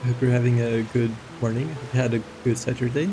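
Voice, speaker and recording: A man's voice: mid-range voice, little pitch movement, quick pace, background noise. A man chatting casually as a game stream host, rambling and hesitant, prone to giggling. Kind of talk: casual livestream chat.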